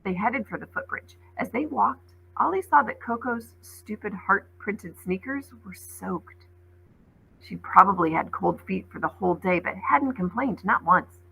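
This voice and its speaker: A woman reading a children's novel aloud as an expressive storyteller, like a teacher reading to a class. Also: mid-range voice, measured pace, creaky, slightly rough, phone-quality sound.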